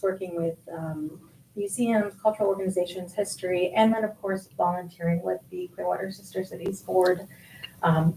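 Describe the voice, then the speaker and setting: A woman's voice: on the low side, mellow, roomy sound, a little hiss or hum, poor audio. A woman from Florida answering interview questions on a radio show, thoughtful and with many ums. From Florida, USA. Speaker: guest, nonprofit president. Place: radio studio.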